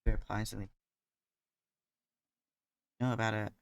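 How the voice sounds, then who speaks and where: A woman streamer giving casual, low-key, muttered reactions while playing a game. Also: flat delivery, normal speed, creaky, slight background noise.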